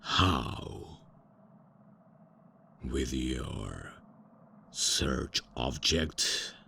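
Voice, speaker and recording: A man's voice: expressive, very slow, sharp tone, a little hiss or hum, full character voice. A man voicing a pompous, old-fashioned male vampire count who is out of touch with the modern world, in an ASMR roleplay. He talks grandly and formally but keeps stumbling over fillers and correcting himself. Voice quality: deep and gravelly. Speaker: voice actor.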